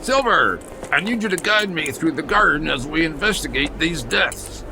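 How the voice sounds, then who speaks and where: A man playing a commanding, faintly condescending investigator in an audio drama, with a creaky voice. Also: fairly high pitch, lively intonation, moderate pace, background noise. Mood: smoothly authoritative.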